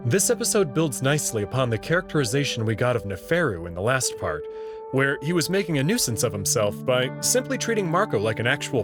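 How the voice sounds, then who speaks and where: A man reading scripted narration and commentary as narrator and host, articulate and expressive. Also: mid-range voice.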